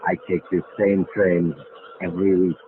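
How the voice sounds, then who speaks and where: A man's voice: low-pitched, level tone, moderate pace, natural voice. Actor as suave sinister man, crackly old radio. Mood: slyly genial. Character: suave, sinister male mystery figure, coolly mocking. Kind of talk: 1940s radio mystery acting. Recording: noisy, tinny vintage radio recording.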